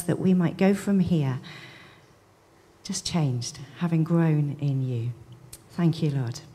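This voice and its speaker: Low-voiced woman reading scripture and praying. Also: slightly rough. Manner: gentle, earnest praying. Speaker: church leader introducing the speaker. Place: church.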